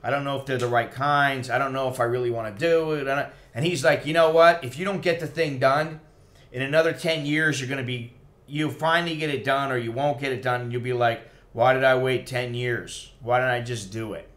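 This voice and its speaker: A man's voice: medium pitch, steady tone, brisk, fairly bright tone. A middle-aged American man, a little tipsy, rambling casually to a livestream in a blunt, profane, opinionated way. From United States. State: tipsy after a few drinks. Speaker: expat vlogger.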